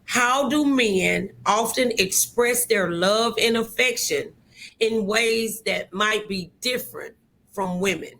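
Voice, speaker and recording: Woman, bright forceful voice, medium pitch, talk show host, conversational, emphatic, repeats for stress. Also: slow pace, creaky.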